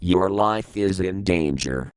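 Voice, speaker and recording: A man playing a solemn government emergency broadcast announcer in an analog horror piece, formal and measured. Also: deep voice, a little mellow, smooth, no echo. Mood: ominous warning.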